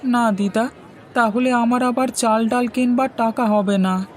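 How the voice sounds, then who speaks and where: A woman's voice: fairly high pitch, fairly even intonation, unhurried, some chatter behind, a little hiss or hum, close to an everyday voice. A woman voicing an obedient, hardworking poor boy in a cartoon fairy tale, speaking earnestly and politely. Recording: music bed under the voice.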